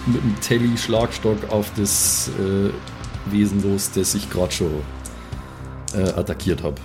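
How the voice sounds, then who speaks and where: A man's voice: fairly low pitch, measured pace. A man playing a tabletop role-playing game, talking casually and dropping in bits of English slang. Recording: clear guitar music behind the voice.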